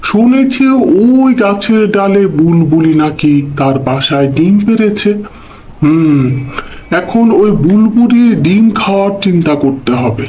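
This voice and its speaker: A man's voice: sits high, expressive, measured pace, a little mellow, slight background noise, low-quality recording. A man voicing a sly, greedy, boastful snake in an animal fable cartoon, gloating as he talks to himself.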